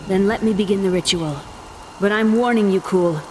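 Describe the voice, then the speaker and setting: A woman's voice: medium pitch, moderate pace, darkish tone, a little hiss or hum, natural voice, poor audio. An actress playing a brave young woman in a video game, speaking urgently and directly. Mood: earnest. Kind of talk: video game cutscene acting. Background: game music.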